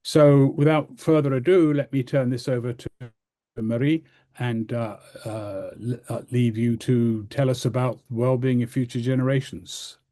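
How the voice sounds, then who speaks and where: Man, webinar host, mellow tone, halting speech with fillers, video call. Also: medium pitch, steady tone. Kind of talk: introducing speakers.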